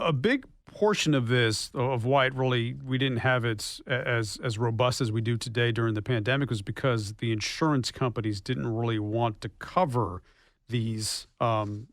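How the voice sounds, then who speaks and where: A man works as a radio show host, interviewing a guest in a conversational broadcast style. His voice sits at a middling pitch, recorded dry with very little room sound. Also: fairly bright tone, no room sound.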